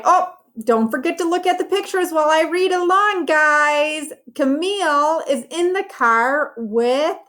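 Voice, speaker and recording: Female teacher for a children's read-aloud: higher-pitched, unhurried, simple instructive wording with encouraging asides. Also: fairly bright tone. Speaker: teacher reading a story to children. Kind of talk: read-aloud lesson for young children, with commentary on pictures.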